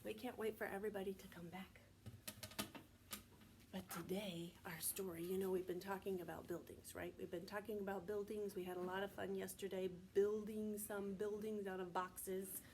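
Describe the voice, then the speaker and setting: A woman preschool teacher reading aloud to young children in a deliberate, child-directed way, often asking prompting questions. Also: mid-range voice, little pitch movement, background noise. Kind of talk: read-aloud and sing-song circle time for young children.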